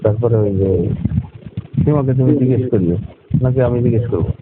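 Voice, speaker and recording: A man's voice: medium pitch, brisk. A young man chatting casually and privately on the phone. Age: young adult. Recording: tinny phone line, clear noise, birds cooing.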